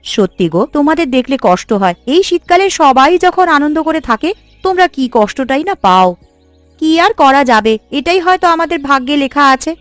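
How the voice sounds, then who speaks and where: A woman voicing an expressive, fretful village housewife in a cartoon, with a gossipy, nagging way of talking. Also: high voice, no room sound. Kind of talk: cartoon voice acting.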